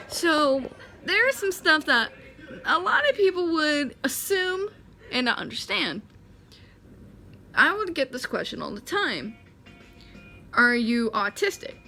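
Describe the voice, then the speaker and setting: Young woman vlogger, nineteen, high bright voice, chatty and self-correcting. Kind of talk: casual talking-head explainer.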